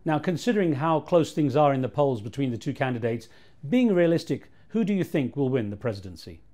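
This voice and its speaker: A man with a mid-range voice conducts a news interview. He asks each question in a measured, formal way, with clear, complete sentences. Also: medium pitch, sing-song, dark tone.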